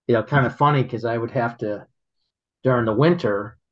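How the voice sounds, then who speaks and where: A man telling stories in casual chat, halting and rambling, laughing often as he goes. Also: mid-range voice, lo-fi sound. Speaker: guest, local business owner.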